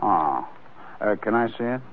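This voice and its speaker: Cool, low-voiced crime boss. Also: male voice, big pitch swings, unhurried, slight background noise. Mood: guarded, cold. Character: guarded, coolly menacing crooked male boss. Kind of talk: 1940s radio crime drama acting. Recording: muffled narrow-band 1940s radio recording.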